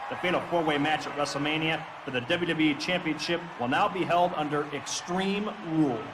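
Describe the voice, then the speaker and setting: A man's voice: fairly high pitch, a little fast, heavy background noise, natural voice. A man playing a commanding wrestling authority figure in a video game, laying down rulings in a stern, declarative way. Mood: stern, decisive. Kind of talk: dramatic in-ring speech in a video game.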